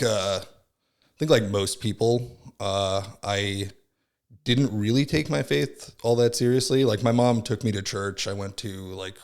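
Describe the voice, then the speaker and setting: A man in his thirties from Southern California, a podcast guest telling his story in a rambling, casual way full of fillers. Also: on the low side. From Southern California, born in Finland. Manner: rambling, many fillers like "like" and "right?". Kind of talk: casual reflective chat.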